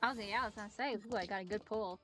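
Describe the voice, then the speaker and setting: A woman's voice: medium pitch, rapid-fire, a bit bright. A woman game streamer chatting casually as she thinks aloud through her moves and reacts with exclamations. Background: music.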